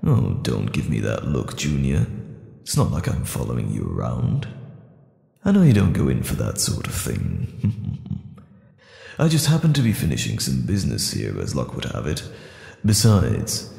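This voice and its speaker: A man acting a sleazy, sardonic, menacing villainous alter ego in an audio drama, with a gravelly, husky growl and a smug, taunting drawl. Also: very deep voice, huge pitch swings, a little slow, warm tone, big-room sound, exaggerated voice.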